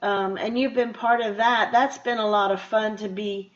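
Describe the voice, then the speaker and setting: A woman's voice: mid-range voice, fairly even intonation, moderate pace. A woman hosting a radio show, interviewing a guest in a casual, chatty and conversational way. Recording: dull, lo-fi radio interview audio.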